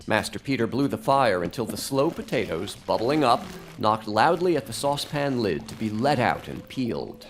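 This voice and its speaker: A man's voice: on the low side, sing-song, moderate pace, a little hiss or hum. A man narrating a live radio drama as a scripted, dramatic storyteller. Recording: faint music behind.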